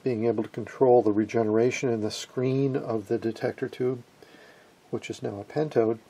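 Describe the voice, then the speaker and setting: A man giving an informal hands-on demonstration as a hobbyist, explaining things in a hesitant way with pauses mid-phrase. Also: medium pitch, steady tone, warm tone, husky. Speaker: amateur radio hobbyist and presenter.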